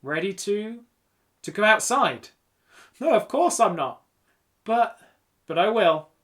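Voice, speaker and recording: A man's voice: sits high, big pitch swings, slow, a bit bright, voice pushed up, full character voice. A man voicing a hesitant, nervous twelve-year-old boy in a children's audiobook. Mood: nervous, unsure. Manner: reluctant, shy, unsure. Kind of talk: children's audiobook character reading.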